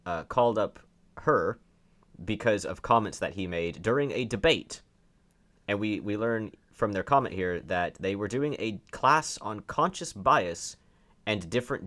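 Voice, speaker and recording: Male online commentator, deep voice, emphatic and sardonic, delivering unscripted opinion with pointed rhetorical questions. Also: a bit bright. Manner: emphatic, stressing words for effect. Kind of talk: opinionated off-the-cuff political commentary.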